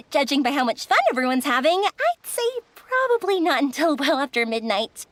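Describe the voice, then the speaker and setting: A woman's voice: sits high, big pitch swings, moderate pace, fairly bright tone, a little hiss or hum. A woman voicing a cheery, persuasive young fireworks maker in a video game, bubbly and coaxing. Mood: cheerful.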